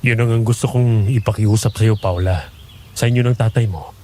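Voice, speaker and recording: A man's voice: lowish voice, a little fast, a little mellow, lightly acted. Man acting a kind young farmer, outdoor noise. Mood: earnest. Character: earnest, kind young male farmer, teasing but loyal. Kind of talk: Tagalog horror audio drama acting.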